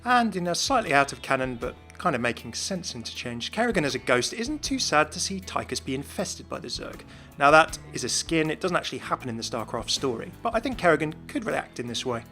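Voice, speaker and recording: A man's voice: a little high, very animated, a little fast, bright, forceful tone. A man giving explanatory narration about video game lore. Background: background music.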